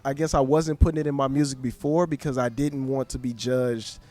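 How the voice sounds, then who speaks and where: A young man hosting a podcast, answering in a thoughtful, measured, slightly hesitant way. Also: mid-range voice, steady tone, darkish tone, faint music behind. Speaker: podcast host. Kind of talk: conversational interview.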